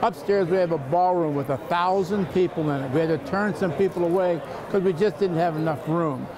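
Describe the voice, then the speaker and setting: A man's voice: on the high side, fairly quick, background noise. An older man giving an unscripted interview as an oddsmaker. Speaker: sportsbook oddsmaker being interviewed. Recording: busy crowd behind. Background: busy crowd in a public venue.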